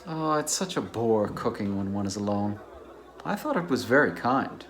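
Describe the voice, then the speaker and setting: A man's voice: on the low side, animated, normal speed. A man playing a reserved, naive academic bachelor in a dramatized novel, mild and donnish. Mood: mild, earnest.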